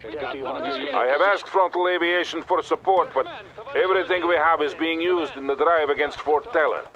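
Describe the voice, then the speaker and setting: An actor playing a stern, composed Soviet army colonel with a Russian accent, giving measured orders in a military video game. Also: male voice, fairly high pitch, fairly bright tone, lo-fi sound, natural voice. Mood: authoritative. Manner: authoritative, measured, commanding. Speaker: military commander character. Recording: battle gunfire noise behind voice. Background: gunfire, artillery and vehicles.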